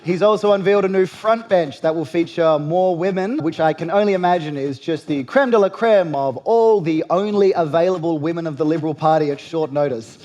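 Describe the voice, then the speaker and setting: A man's voice: a little high, a little mellow, highs cut off. A young Australian man hosting a late-night talk show, delivering a sardonic satirical monologue with punchy jokes.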